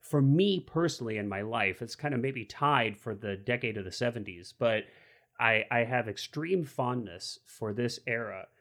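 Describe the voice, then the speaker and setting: A man's voice: medium pitch, expressive, moderate pace, fairly bright tone. A man in his forties hosting a casual film discussion, talking enthusiastically and rambling through restarts. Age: 40s.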